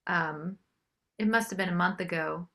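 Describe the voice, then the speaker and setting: Bright-voiced woman in casual conversation. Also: on the low side, normal speed, gravelly. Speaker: interview guest, singer-songwriter.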